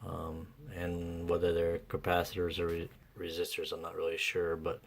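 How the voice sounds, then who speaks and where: A man with a low, deep voice gives unscripted repair commentary. His intonation stays very flat. He speaks haltingly, working through his thoughts aloud in an informal, salty way. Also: gravelly. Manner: halting, thinking aloud, mildly profane. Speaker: host of a radio repair video.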